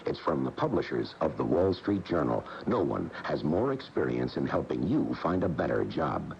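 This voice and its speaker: A man's voice: very deep voice, big pitch swings, moderate pace, vocal fry. An American man announcing a scripted radio commercial. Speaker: advertising announcer. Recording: dull radio broadcast with faint noise.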